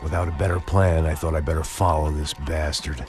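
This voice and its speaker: An American man playing a weary, cynical middle-aged ex-cop antihero, narrating in a deadpan, hard-boiled noir style for a video game. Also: a bass voice, brisk. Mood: brooding, suspicious. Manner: deadpan, sardonic, world-weary. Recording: music playing behind the voice.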